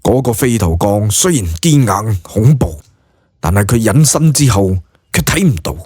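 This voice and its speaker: A man's voice: on the low side, lively intonation, moderate pace, a little mellow, husky, no echo, clean studio sound. A man with a Cantonese accent narrating a scripted story dramatically, as in an audiobook.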